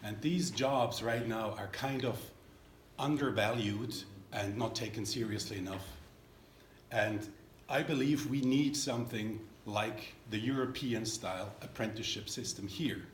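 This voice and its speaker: A man from Switzerland asking his state legislators a question at a town hall, earnest and measured, with hesitant fillers. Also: on the low side. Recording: echoey room, faint background noise.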